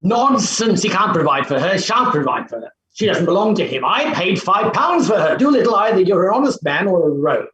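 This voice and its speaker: An actor playing a petulant, overbearing gentleman professor, blustering and spluttering in a staged play reading, in dull echoey sound. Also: high-pitched, sing-song, fairly quick, few pauses, crowd noise. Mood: indignant.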